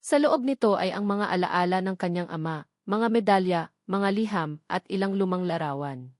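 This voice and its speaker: A woman's voice: lowish voice, normal speed, darkish tone, no room sound. Woman narrating a dramatic story, dry recording.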